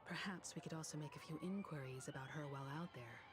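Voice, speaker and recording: A woman's voice: low-pitched, quick pace, lightly acted. A woman playing a poised, mature, rational crew leader in a video game. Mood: composed. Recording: music behind the voice.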